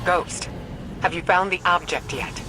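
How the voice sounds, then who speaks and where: A woman playing a stern, composed commander and mentor in a video game cutscene, grave, measured and authoritative. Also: on the low side, close to an everyday voice, low-quality recording. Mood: commanding. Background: loud game soundtrack music.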